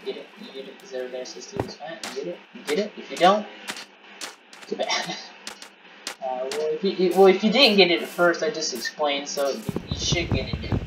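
A man giving casual gaming commentary, excitable and rambling, often repeating words and restarting his sentences. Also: a little high, fairly quick. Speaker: gameplay commentator. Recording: distant mic with noisy background.

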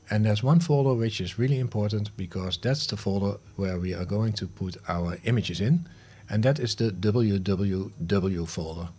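A middle-aged man, around his fifties, presenting a scripted step-by-step software tutorial into a close studio microphone in clearly segmented, instructional phrases. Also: fairly low pitch. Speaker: tutorial host. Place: home studio room, indoors. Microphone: close studio microphone on a boom arm.

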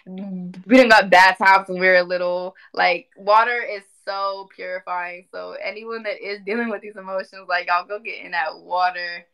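A woman in her twenties talking casually on a livestream, animated and emphatic, often repeating phrases for emphasis. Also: fairly low pitch, normal speed, clear, resonant tone, poor audio. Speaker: spiritual community speaker. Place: indoor room at home.

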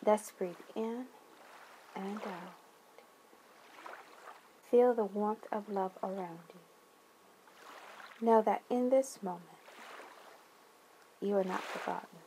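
A woman guides a meditation in a soft-edged, mellow voice at a very slow pace. She places each soothing phrase gently and deliberately, leaving long pauses between them. Faint watery ambient noise runs behind her. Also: mid-range voice.

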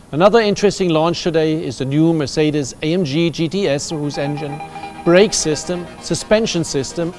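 A man in his fifties, a company executive, delivering a measured, formal prepared statement. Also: a little high, darkish tone. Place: studio set with backdrop.

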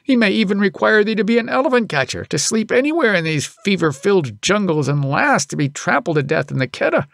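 Man playing a scolding father, pitched high. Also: very animated, brisk, creaky, hardly any pauses, a bit bright, no room sound, clean studio sound, heavily acted. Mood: indignant scolding. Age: middle-aged. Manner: indignant, archaic thee-and-thou speech. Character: scolding, grumbling older Indian elephant-driver father, proud. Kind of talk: audiobook character voice.

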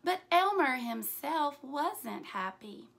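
A woman with a high, bright voice reads a picture book aloud to children. She takes her time over the phrases and tells the story with plenty of expression, like a teacher. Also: gravelly. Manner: deliberate, animated storytelling with hushed asides. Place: small furnished room indoors.

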